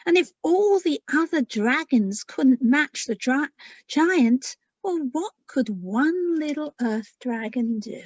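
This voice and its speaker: A woman with a fairly high voice, talking like a children's author at a read-aloud. Her pace is slow and informal. The sound is dry and somewhat lo-fi. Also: lively intonation, dry recording.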